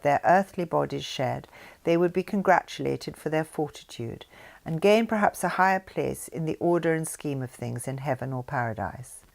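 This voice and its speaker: An older woman reading a spiritual text aloud in a formal, deliberate manner, with emphasis and brief asides. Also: deep voice, vocal fry, darkish tone. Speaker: reader of her mother's written messages.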